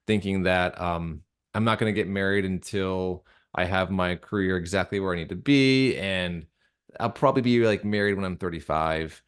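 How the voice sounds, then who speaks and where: Low-voiced man in casual interview talk. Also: smooth. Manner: earnest, halting, conversational with frequent self-interruptions. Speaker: guest, audio drama creator and director.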